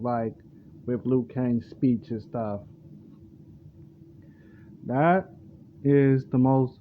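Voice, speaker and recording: Male reaction host, unhurried and repetitive, loose slangy delivery. Muffled low-fi sound with slight background noise. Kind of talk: casual off-the-cuff reaction commentary. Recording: dull lo-fi mic, faint background noise.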